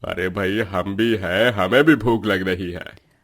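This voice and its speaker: A man's voice: low voice, takes it slowly, heavily acted. A man playing an older father-in-law, the patriarchal head of a cartoon household. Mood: hungry, insistent.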